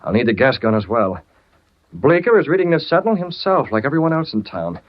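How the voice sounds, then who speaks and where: Actor as a fast-talking, resolute American crimefighter. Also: male voice, medium pitch, very animated, heavy background noise, close to an everyday voice. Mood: urgent, commanding. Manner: decisive, clipped, commanding. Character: brisk, resolute newspaperman and secret masked vigilante. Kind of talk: 1930s radio acting. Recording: muffled 1930s radio recording.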